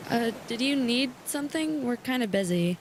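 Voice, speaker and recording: A woman's voice: a little high, expressive, a little slow, noisy background. An actress playing an anxious, defensive young woman captaining a small boat in an audio drama, hesitant and evasive. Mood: nervous, evasive.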